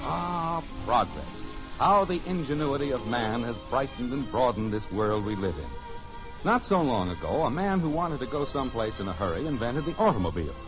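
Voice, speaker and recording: A man's voice: mid-range voice, big pitch swings, normal speed. A man announcing and narrating a 1950s radio program in a scripted style. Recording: muffled vintage radio with music. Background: music.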